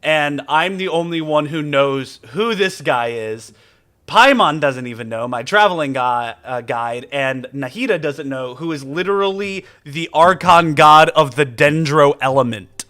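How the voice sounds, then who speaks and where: A man's voice: a little high, measured pace, pushed and bright. A man giving casual, theatrical live commentary over a video game, rambling and talking back to the characters.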